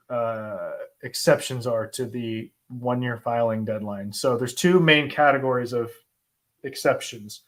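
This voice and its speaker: A man with an American accent answering viewer questions in an informal, conversational way, thinking aloud with pauses as he explains. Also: mid-range voice, fairly bright tone. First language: English. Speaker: host, asylum lawyer answering viewer questions. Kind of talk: informal live Q&A, explaining law.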